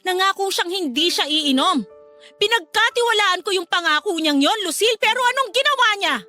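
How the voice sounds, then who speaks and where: High-voiced bitter grieving mother. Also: animated, fairly quick, pushed and bright, heavily acted. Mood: angry grief. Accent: Filipino. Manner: cold, reproachful, firm. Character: bitter, unforgiving grieving mother, older sister. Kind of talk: Filipino radio drama acting. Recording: music under the dialogue.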